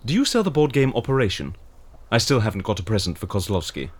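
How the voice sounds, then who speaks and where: An actor playing an eager, polite and naively festive interviewer in an audio drama, chatty and theatrical. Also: male voice, lowish voice, big pitch swings, quick pace, breathy edge. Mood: excited. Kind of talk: scripted audio drama acting.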